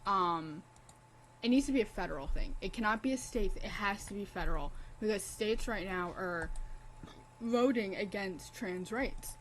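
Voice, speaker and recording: A woman's voice: mid-range voice, normal speed, slight background noise, low-quality recording. A young woman streaming a game in casual commentary, blunt, profane and impassioned as she talks.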